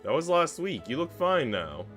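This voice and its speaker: A man's voice: fairly high pitch, big pitch swings, normal speed, fairly bright tone, pitched above the performer's own voice. Man as a weary, guarded young maid. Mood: dry, suspicious. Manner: tired, curt, dry. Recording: music behind the voice.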